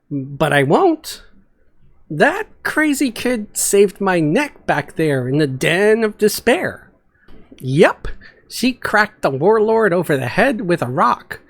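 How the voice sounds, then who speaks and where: A man playing a loud, sardonic, hot-tempered adventurer in a fantasy story, speaking with indignant emphasis. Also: mid-range voice, vocal fry, pitched above the performer's own voice, heavily acted.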